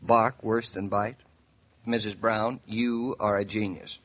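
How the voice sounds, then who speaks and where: A jovial young newlywed husband in old-time radio, teasing as he goes. His voice is husky, on a muffled vintage recording. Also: lowish voice, level tone, slow, a bit bright. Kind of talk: 1940s radio acting.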